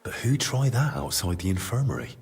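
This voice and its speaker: An actor playing a blunt, probing young male investigator in a video game cutscene, speaking in a measured, matter-of-fact way. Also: low voice, very animated, creaky, a little mellow, husky, exaggerated voice. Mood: pensive, observant.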